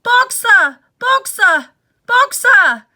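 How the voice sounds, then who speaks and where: A woman's voice: extremely high pitch, sing-song, drawn-out words, sharp tone, voice pushed up, exaggerated voice. A woman voicing a stout, motherly old mare who cries out desperately in a storybook reading. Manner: crying out desperately.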